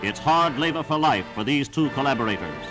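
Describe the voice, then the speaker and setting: A man's voice: medium pitch, lively intonation, fairly quick, music in the background. A man reading scripted 1940s newsreel narration in a formal, emphatic, declamatory manner on a vintage soundtrack.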